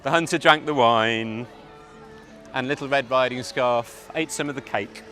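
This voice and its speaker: Bright, forceful male storyteller. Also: mid-range voice, soft background music. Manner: theatrical, deliberate, dramatic pauses. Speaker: storyteller narrator. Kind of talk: live fairytale narration for children. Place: outdoor public event.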